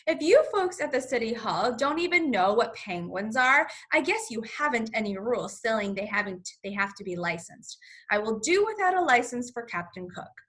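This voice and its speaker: A woman acts out an earnest storybook man who is easily exasperated. The voice is high and bright, insistent and very clearly spelled out, with lively swings. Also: normal speed. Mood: outraged.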